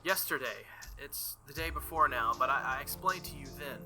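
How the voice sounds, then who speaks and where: A man's voice: medium pitch, normal speed, over music, natural voice. A man reading the lines of a confident young woman mage from a visual novel, explaining matter-of-factly.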